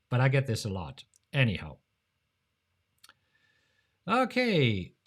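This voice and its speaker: A man from Germany with a German accent, hosting a casual live-stream Q&A in a chatty, jokey way, laughing often and jumping up in pitch. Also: huge pitch swings, slow. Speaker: host of a live trading show.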